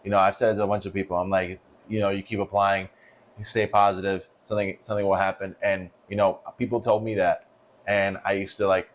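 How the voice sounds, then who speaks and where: A man's voice: deep voice, level tone, quick pace. A man in his twenties from New York co-hosting a casual podcast, blunt and profane. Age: 20s. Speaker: podcast co-host.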